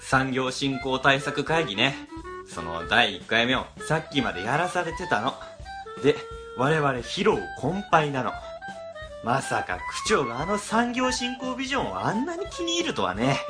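Man acting a lively, grumbling office boss. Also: medium pitch, moderate pace, music in the background, lightly acted. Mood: weary, grumbling. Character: weary, grumbling middle-aged male office boss. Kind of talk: web radio comedy drama acting.

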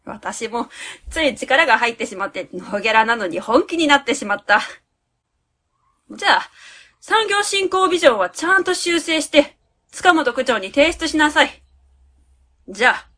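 A woman's voice: high-pitched, animated, moderate pace, sharp tone, full character voice. A woman playing a pompous, self-assured consultant who gives commanding advice in a web radio drama. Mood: self-satisfied.

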